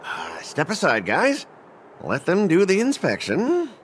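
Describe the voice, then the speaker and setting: A man's voice: high voice, wildly swooping pitch, unhurried, a little hiss or hum, full character voice. A man voicing a self-important young corporate merchant in a video game, whiny, indignant and sarcastic. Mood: grudging.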